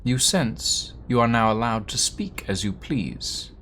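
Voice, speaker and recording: A man streaming a text adventure game, reading its text aloud slowly and dramatically, with playful, animated asides. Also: mid-range voice, slight background noise.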